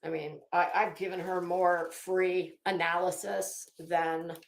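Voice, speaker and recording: A woman ranting casually as an online host, blunt and sarcastic, swearing freely and halting to stretch words for emphasis. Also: fairly low pitch, flat delivery. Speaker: YouTube host. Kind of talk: casual livestream rant. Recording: echoey room.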